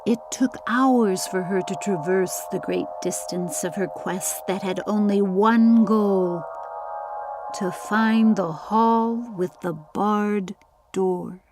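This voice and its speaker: A woman narrating a scripted storybook audiobook in a deliberate, dramatic storytelling manner. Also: medium pitch, steady tone, over music.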